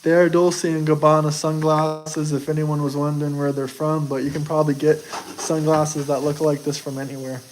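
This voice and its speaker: Young man, from the US, higher-pitched, boastful, defensive, casual stream talk. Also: flat delivery, moderate pace, poor audio. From United States. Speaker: streamer answering chat. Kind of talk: casual live chat.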